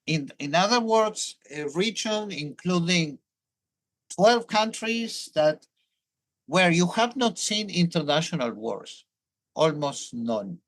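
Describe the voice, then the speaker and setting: A man's voice: a little high, animated, slow, fairly bright tone. A man in his sixties, born in Argentina, who speaks English with a Hebrew accent and explains his research at length in an academic interview. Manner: thoughtful, explanatory, with restarts. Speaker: professor, guest.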